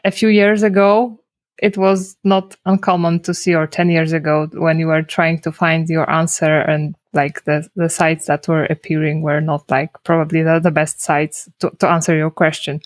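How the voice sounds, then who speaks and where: A woman speaks English in a fairly low, flat voice. She talks hesitantly, restarting phrases and leaning on "like". The sound is dry and close, with no room around it. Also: normal speed, no room sound. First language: Polish. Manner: hesitant, many restarts and "like" fillers. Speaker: guest, SEO consultant. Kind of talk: casual interview.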